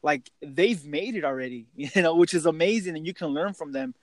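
Man, 30, podcast host, higher voice, informal conversational delivery, close dry sound. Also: animated, brisk, no room sound. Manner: informal, peppers talk with "you know". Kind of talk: casual conversational interview.

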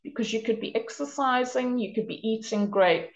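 A woman's voice: on the high side, normal speed, echoey room, highs cut off. Earnest South African woman on a call. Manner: energetic, earnest advice-giving. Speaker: guest. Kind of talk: casual interview talk.

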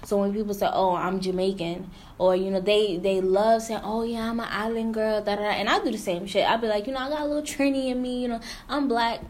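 A woman's voice: mid-range voice, fairly even intonation, fairly quick, a little mellow, slight background noise. Blunt, slangy woman in casual chat. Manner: blunt, candid, slangy, with frequent "mm-hmm" backchannels.

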